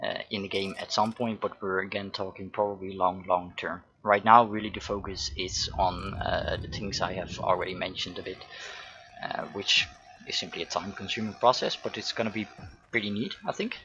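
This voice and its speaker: Bright-toned Dutch man. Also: deep voice, steady tone, moderate pace, lo-fi sound, a little hiss or hum. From Netherlands. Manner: answers chat questions between gameplay remarks. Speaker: game developer hosting a dev stream. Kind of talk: casual stream Q&A while playing. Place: home.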